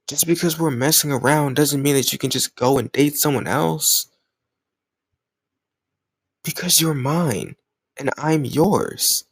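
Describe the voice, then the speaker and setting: A man's voice: on the high side, vocal fry, husky, dry recording, heavily acted. A man playing a jealous, stubborn young lover in an intimate romantic audio roleplay, speaking emotionally and insistently in casual language. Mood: jealous, indignant. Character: jealous, stubborn young male lover confessing his love.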